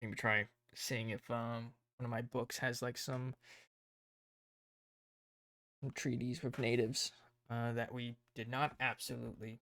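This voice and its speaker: A man streaming casually, rambling and thinking aloud as he plans, his voice tired and croaky. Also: mid-range voice, little pitch movement, measured pace, long pauses, fairly bright tone, dry recording, studio quality. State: hoarse, tired voice. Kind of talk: casual livestream chat.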